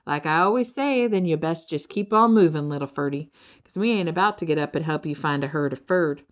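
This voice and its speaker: A woman's voice: low voice, a little fast, close to an everyday voice. A woman voicing an easygoing folksy creature, half bird and half turtle, with a drawling rural Southern US accent in a children's storybook. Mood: dismissive.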